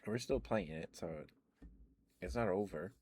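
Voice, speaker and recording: A man rambling in a soft, meandering ASMR chat, with stammered restarts and fillers, close to the microphone. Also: low-pitched, measured pace, slight background noise. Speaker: ASMR streamer.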